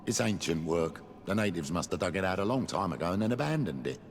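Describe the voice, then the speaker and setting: An actor playing a practical, cautious village miner in a fantasy game, speaking in a plain, measured way. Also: male voice, low voice, expressive, big-room sound, slight background noise. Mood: matter-of-fact. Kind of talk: game dialogue.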